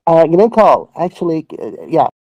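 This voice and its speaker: A man's voice: a little high, sing-song, normal speed, warm tone, dry recording, highs cut off. A man answering questions in a voice chat, hesitant and full of fillers and restarts. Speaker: project team member answering questions. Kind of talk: casual voice-chat Q&A.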